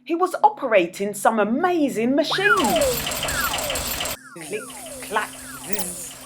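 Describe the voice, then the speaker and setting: A woman narrating a children's story as a storyteller, with dramatic, expressive delivery. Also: high voice, slow pace, heavy background noise. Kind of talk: children's read-aloud narration.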